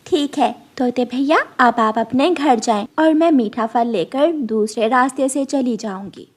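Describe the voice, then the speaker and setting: A caring mother bird from an animated fable, acted by a woman. The voice is fairly high. The manner is motherly and instructive. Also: unhurried. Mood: polite. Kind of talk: animated moral story voice.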